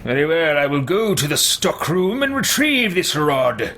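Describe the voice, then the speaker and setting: A man's voice: on the high side, sing-song, measured pace, fairly bright tone, slight background noise. A man playing an arrogant, power-hungry male mage in a game roleplay, speaking in a pompous, theatrical, declamatory way. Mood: determined. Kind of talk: roleplayed game character dialogue.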